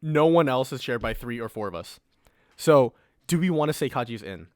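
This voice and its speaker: A man's voice: medium pitch, expressive, moderate pace, no room sound, clean studio sound. A man co-hosting a podcast, talking in a casual group discussion. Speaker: podcast co-host.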